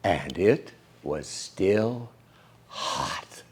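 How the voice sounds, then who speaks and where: A man in his sixties or older reading a children's picture book aloud as storyteller, with dramatic, deliberate phrasing. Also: deep voice, expressive, darkish tone, a little hiss or hum. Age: 60s or older. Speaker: storyteller and host.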